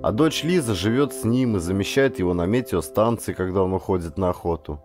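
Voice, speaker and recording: A man reading first-person literary fiction as an audiobook, with a dramatic, deliberate storytelling delivery. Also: deep voice, over music. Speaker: audiobook narrator, actor.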